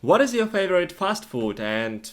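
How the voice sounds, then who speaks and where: A man's voice: high voice, very animated, normal speed, a bit bright. A man co-hosting an English lesson, chatting through questions and answers in short, simple, plainly worded sentences. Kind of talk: conversational question-and-answer for learners.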